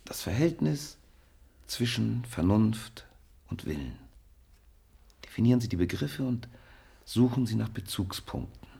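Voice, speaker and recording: Gravelly, low-voiced stern scientist. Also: male voice, lively intonation, warm tone, heavily acted. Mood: measured, commanding. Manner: measured, instructing, insistent. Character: stern, persistent male scientist running an experiment. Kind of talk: German radio play acting.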